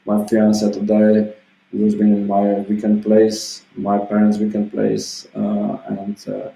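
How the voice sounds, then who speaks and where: A man in his thirties talks in a loose, meandering way, full of little filler phrases. His voice sits low and sounds warm and relaxed. The room around him is echoey, so his words ring a little. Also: takes it slowly, vocal fry. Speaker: self-help vlogger. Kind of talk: casual motivational monologue. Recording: roomy echo.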